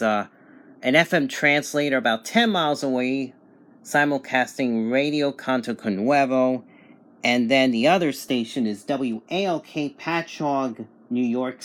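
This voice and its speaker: A man casually demonstrating and reviewing a radio, reading out station details in a matter-of-fact way. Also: medium pitch. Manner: matter-of-fact, reading out call signs and distances. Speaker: reviewer giving a radio reception test.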